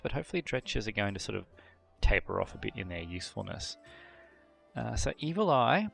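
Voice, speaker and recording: A man hosting a game video, thinking aloud through character choices with frequent fillers and throat clearing. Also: on the low side, fairly bright tone. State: voice a bit worn from a long previous session. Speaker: gameplay channel host. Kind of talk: casual gameplay commentary.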